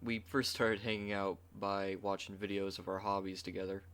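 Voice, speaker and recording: Low-voiced man chatting as host. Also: monotone, moderate pace, a bit bright, clear, resonant tone. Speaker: ASMR roleplay channel host. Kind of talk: casual outro chat.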